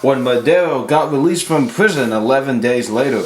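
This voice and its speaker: A man's voice: medium pitch, expressive, normal speed. A man working as narrator, reading a scripted amateur history account. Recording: echoey room with faint noise.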